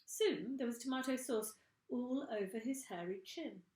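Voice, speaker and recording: A female teacher reading a children's storybook aloud, slowly and expressively, in an echoey room. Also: fairly high pitch, a little mellow.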